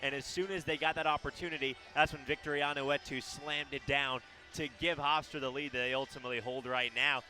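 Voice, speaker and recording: A man's voice: medium pitch, steady tone, a little fast, sharp tone, soft background music. A man giving conversational, analytical color commentary on a basketball radio broadcast.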